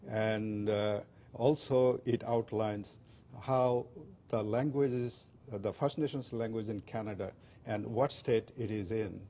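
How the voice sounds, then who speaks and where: An older man from Bangladesh whose first language is Bengali, a guest on a community TV interview, speaking haltingly with many fillers and restarts. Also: fairly low pitch, warm tone.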